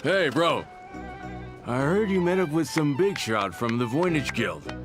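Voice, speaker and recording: A man's voice: on the high side, animated, a little slow, music in the background. A man voicing a chummy, rowdy drinking buddy in a video game, slangy and familiar.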